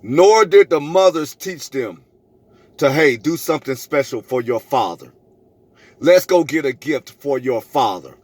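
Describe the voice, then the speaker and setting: A man's voice: on the high side, lively intonation, measured pace, dry recording. Emphatic middle-aged man telling stories. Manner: emphatic, preachy, repeats phrases for effect. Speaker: vlogger telling a personal story. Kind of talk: animated storytelling to camera, re-enacting arguments.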